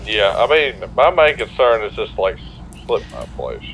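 A man's voice: fairly low pitch, huge pitch swings, a little slow, a bit bright, poor audio. A man chatting casually as a player in an online roleplay game. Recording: faint car and street noise.

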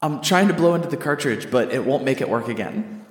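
Man playing a petulant God in booming reverb. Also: animated, brisk. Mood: frustrated. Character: grumpy, bumbling, irritable God fiddling with gadgets. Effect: booming hall reverb. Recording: echoey reverberant hall effect.

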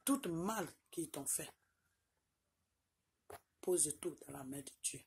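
A woman's voice: low voice, expressive, slow pace, a little mellow, slight background noise. A middle-aged woman from Côte d'Ivoire with an Ivorian French accent, talking straight into her phone camera from a small room, insisting on her points and checking in with "ok?" again and again. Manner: insistent, repeats points with "Ok?" tags. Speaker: vlogger. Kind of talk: direct-to-camera personal address. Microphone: phone camera at arm's length.

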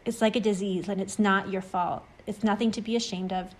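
A woman's voice: mid-range voice, steady tone, brisk, a little hiss or hum, close to an everyday voice. A woman playing a reassuring, encouraging, talkative friend in an acted short-story reading. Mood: earnest. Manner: encouraging, explanatory. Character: reassuring, talkative friend who overcame extreme anxiety.

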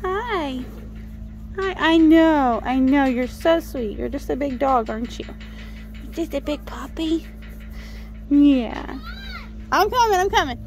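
A woman's voice: high-pitched, animated, takes it slowly, pauses often, darkish tone, faint music behind. A woman chatting casually with a child and cooing baby talk to animals. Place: dairy farm, among calves and cows. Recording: noisy farm ambience.

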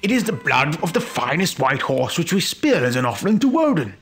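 A man's voice: fairly high pitch, big pitch swings, brisk, a bit bright, soft background music. A man voicing an earnest pagan warrior at a feast in a dramatised story, speaking proudly and emphatically. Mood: proud, emphatic.

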